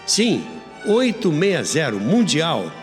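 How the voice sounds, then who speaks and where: A man's voice: fairly high pitch, wildly swooping pitch, slow pace, faint music behind. A Brazilian man hosting a history programme, narrating like a storyteller with emphatic, old-school radio announcer delivery. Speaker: radio history podcast host. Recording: long reverb on voice.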